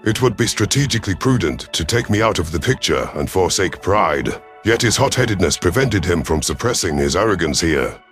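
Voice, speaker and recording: Casting: male audiobook narrator, deep voice, measured and solemn sci-fi storytelling over faint music. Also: animated. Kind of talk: scripted first-person sci-fi narration.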